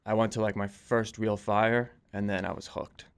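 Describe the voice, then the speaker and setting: A man in his twenties answering interview questions casually, telling anecdotes in informal, slightly halting phrasing. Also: on the low side, level tone, clear, resonant tone. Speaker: podcast guest, volunteer firefighter and police dispatcher.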